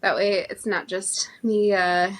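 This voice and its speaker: A woman co-hosting a casual chat show, friendly and relaxed. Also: medium pitch, slow. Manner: relaxed, friendly, laughs often. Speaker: podcast co-host.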